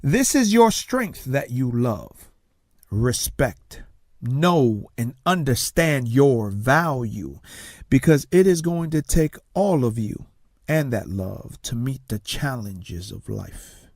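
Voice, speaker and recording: Man from Tonga, native Tongan speaker, motivational coach, very slow deliberate delivery, dry close mic. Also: medium pitch, big pitch swings, dry recording. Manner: slow, emphatic, dramatic pauses, drops to soft near-whispers. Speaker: host, success coach.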